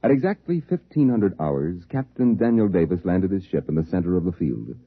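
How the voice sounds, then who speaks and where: An actor playing a solemn storyteller who narrates a 1950s science fiction radio drama. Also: male voice, sits low, big pitch swings, brisk, breathy edge, no echo, phone-quality sound, heavily acted. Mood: solemn, suspenseful. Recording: thin vintage radio recording with music.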